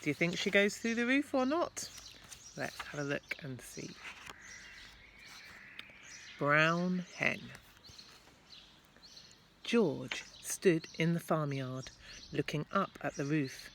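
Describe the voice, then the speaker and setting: Primary school storyteller: woman, lowish voice, very animated, chatty with young listeners, noisy home recording. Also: slow, long pauses. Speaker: primary school staff member reading to children. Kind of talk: expressive children's storybook reading. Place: at home, apparently outdoors in garden. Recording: clear background noise, amateur home recording.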